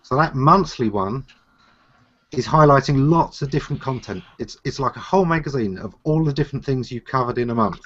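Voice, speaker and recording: A man's voice: medium pitch, a little fast. Explaining man on a muffled call. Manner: explanatory, steady. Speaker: consulting show host. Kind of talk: advisory talk. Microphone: video call. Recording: slightly dull video call audio.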